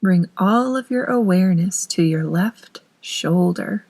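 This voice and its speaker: A woman narrating a guided meditation in a soothing, unhurried manner with long pauses between phrases. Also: on the low side, darkish tone.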